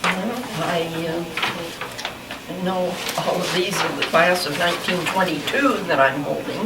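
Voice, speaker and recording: An elderly woman, recalling her life in a slow, hesitant oral history interview with small laughs and restarts. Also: lowish voice, steady tone. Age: 80s. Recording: distant mic in a roomy space with loud background noise.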